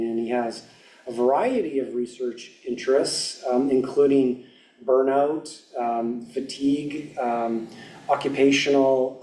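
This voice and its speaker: A man hosting an event, introducing a guest speaker haltingly with many ums and uhs. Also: mid-range voice, a little mellow. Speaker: event host. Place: lecture hall. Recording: echoey hall, mic far off.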